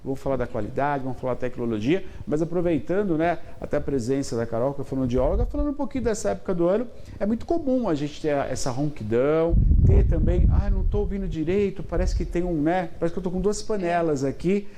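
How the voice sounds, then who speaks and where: A man's voice: a little high, animated, quick pace, few pauses, mellow. A man with a Brazilian Portuguese accent hosting a casual TV interview in a studio, chatty and full of "né?" and backchannel murmurs. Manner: chatty, frequent "né?" and "uhum" backchannels. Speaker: TV host.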